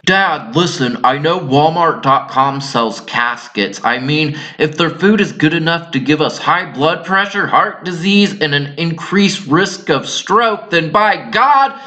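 A man voicing a sarcastic, deadpan, defiant adult daughter from a working-class cartoon family. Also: a little high, a little slow, hardly any pauses. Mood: indignant.

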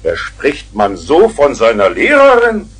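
An actor playing a grumpy, easily exasperated father who blusters and scolds, in a husky voice, in a 1970s children's radio play. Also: medium pitch, wildly swooping pitch, measured pace, heavily acted. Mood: scolding.

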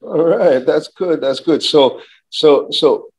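A man hosting a video call interview, asking questions in a halting way and repeating words. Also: on the high side, darkish tone. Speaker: interview host. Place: indoor home gym. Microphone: video call, webcam close-up.

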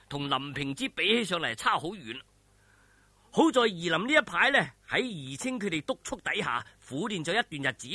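Animated, bright-voiced Cantonese storytelling man. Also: a little high, breathy edge, no echo. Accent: Hong Kong Cantonese. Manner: theatrical, colloquial, suspenseful. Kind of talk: dramatic audiobook-style martial arts storytelling.

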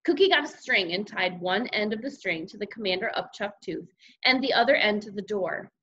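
Woman voicing an animated, excitable young boy. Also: medium pitch, a little fast, breathy edge, muffled recording. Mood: eager. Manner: animated, childlike exclamations and grumbling. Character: excitable, mischievous young schoolboy telling his own misadventures. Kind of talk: first-person children's audiobook narration.

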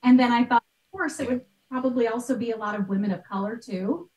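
A woman's voice: on the high side, quick pace, darkish tone. Roomy, distant-miked talkative woman. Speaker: podcast host and art historian, interview guest. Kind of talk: conversational interview answers. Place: small bright room at home. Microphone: computer mic on a video call. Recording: roomy echo, distant mic, video call.

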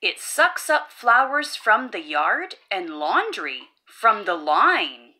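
A woman's voice: mid-range voice, very animated, slow, bright, forceful tone. A woman hosting a children's read-aloud, reading a rhyming picture book in a playful, sing-song way. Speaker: storybook read-aloud host.

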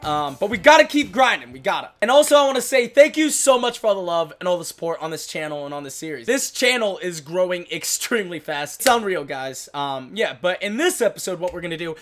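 A young man in his twenties, hoarse from losing his voice, giving excitable casual commentary while opening packs in a video game. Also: high-pitched, brisk, hardly any pauses. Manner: excitable, hyped outbursts. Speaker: gaming YouTuber. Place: small room at a desk. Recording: music playing behind the voice.

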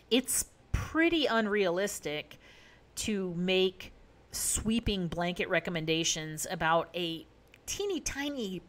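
A woman physician hosting a solo podcast, explaining nutrition science in a conversational way with many fillers and emphatic asides. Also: mid-range voice.

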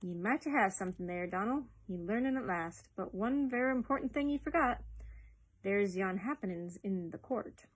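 A husky-edged, pompous older Scotsman as a woman narrator acts him out from a storybook. He is a minor civil servant, stern and emphatic, forever lecturing his junior. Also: medium pitch, animated, normal speed, close to an everyday voice, low-quality recording. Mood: pondering.

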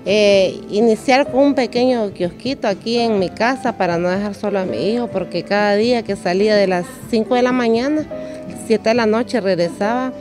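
A woman's voice: medium pitch, normal speed, over music. A middle-aged woman with a Nicaraguan accent giving casual interview answers as a restaurant owner. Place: open-air roofed roadside restaurant. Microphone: handheld microphone on table in front.

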